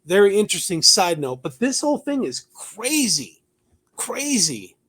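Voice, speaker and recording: Higher-voiced man discussing the news. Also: very animated, measured pace, fairly bright tone, breathy edge. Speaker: talk show host. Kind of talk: conversational news commentary, partly read from notes.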